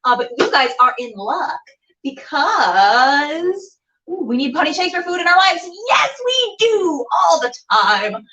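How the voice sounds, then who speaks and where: A woman's voice: high-pitched, big pitch swings, unhurried, bright, forceful tone, distant mic, poor audio. A bubbly, theatrical woman chatting casually as she hosts a livestreamed show. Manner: bubbly, theatrical, self-mocking. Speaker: web show host. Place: indoor room with a door. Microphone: camera on a tripod, a little distant.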